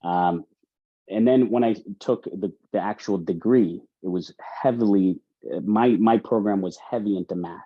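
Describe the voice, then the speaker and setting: A man's voice: fairly low pitch, normal speed. A man in his thirties with a Canadian accent giving casual, chatty interview answers, often repeating himself. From Ontario, Canada. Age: 30s. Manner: chatty, repeats words and phrases. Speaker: mortgage specialist, interview guest. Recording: dull lo-fi audio.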